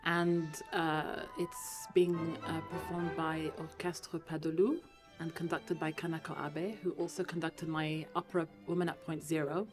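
A woman's voice: low-pitched, unhurried, hardly any pauses, over music. A young woman from Lebanon, a composer, talking animatedly in a podcast interview and laughing often. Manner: animated, laughing often, switching between languages. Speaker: composer guest. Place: room with Christmas trees. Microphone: studio mic on boom arm.

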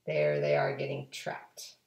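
A woman in her forties reading a children's storybook aloud in an expressive, deliberate storytelling manner. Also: background noise. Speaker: guest reader. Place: home kitchen and dining room indoors. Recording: roomy home kitchen echo.

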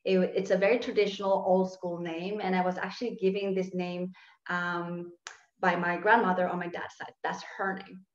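A woman's voice: fairly low pitch, flat delivery, normal speed. A woman in her thirties from Norway, earnestly telling her personal story on a video call from her living room. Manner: earnest, reflective, gestures while talking. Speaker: guest speaker, sociologist. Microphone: laptop webcam on video call. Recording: dull, roomy video call audio.

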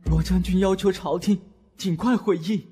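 Anxious, formal herald for period drama male actor, urgent delivery in a high voice. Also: steady tone, normal speed, mellow, breathy edge, long reverb tail, faint music behind. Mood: fearful, urgent. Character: anxious messenger delivering dire news to court. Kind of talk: Chinese period audio drama acting.

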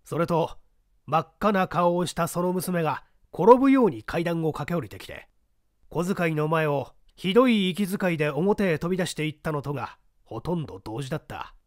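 A composed middle-aged gentleman, as a man reads him in a detective story audiobook, laying out a puzzle in measured, lecturing tones. His pitch rises and falls in wide, lively swings. The recording is close and dry. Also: no echo, natural voice. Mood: narrating. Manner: measured, lecturing, poses pointed questions.

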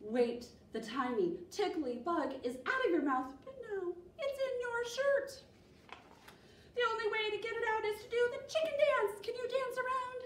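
An excitable little storybook bug, acted out by a woman reading aloud, bossy and teasing. The voice is very high and swoops around, heard in an echoey room. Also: moderate pace, distant mic, a little hiss or hum. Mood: excited, urging. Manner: commanding, teasing, playful.

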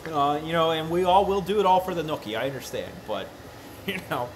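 A man's voice: on the high side, animated, a little fast, slight background noise, low-quality recording. A man in his thirties chatting casually with his live stream while working at a crafting table, rambling and often correcting himself. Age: 30s. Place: workshop room with a worktable.

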